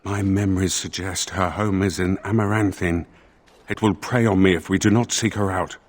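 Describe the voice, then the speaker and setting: Spirit in a dead man's body, fantasy game. Low, grave, measured, otherworldly formality. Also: steady tone. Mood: troubled, grave. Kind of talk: fantasy video game acting.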